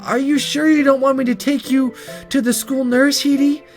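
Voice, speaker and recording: A man voicing a gentle, worried, motherly schoolgirl class representative in a visual novel read aloud. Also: very high voice, little pitch movement, moderate pace, soft background music, voice pushed up. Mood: concerned.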